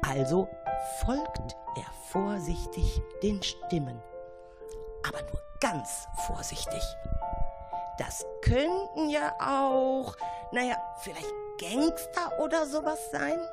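A woman's voice: high-pitched, sing-song, slow. A woman narrating a children's audio story dramatically and suspensefully, sometimes dropping toward a whisper. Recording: music behind the voice.

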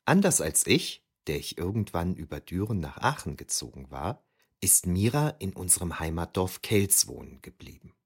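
A man's voice: deep voice, very animated, moderate pace, vocal fry, slightly rough, exaggerated voice. A man playing a reflective middle-aged historian, narrating his memoir in a scripted German audio drama.

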